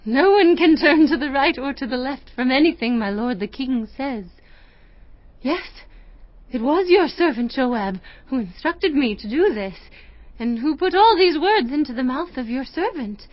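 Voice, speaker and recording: A woman's voice: sits high, animated, unhurried, no room sound, lo-fi sound. An actress playing an anguished, humble widow pleading formally with a king in a dramatized audio Bible. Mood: earnest, humble. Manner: formal, beseeching, emotional.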